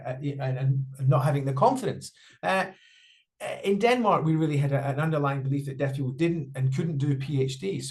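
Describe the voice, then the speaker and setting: A man with a fairly high voice voices sign language speakers for a panel. His delivery is halting and full of fillers and restarts as he keeps pace with the signers. The sound comes through a video call. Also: darkish tone. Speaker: sign language interpreter voicing deaf panellists. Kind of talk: spoken interpretation of a panel discussion.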